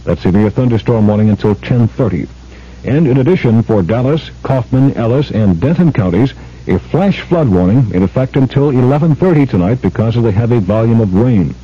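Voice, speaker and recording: A man's voice: medium pitch, expressive, fairly quick, slightly rough. A man reading a weather warning bulletin on radio in a formal, factual way. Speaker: radio news announcer. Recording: dull, muffled old radio broadcast.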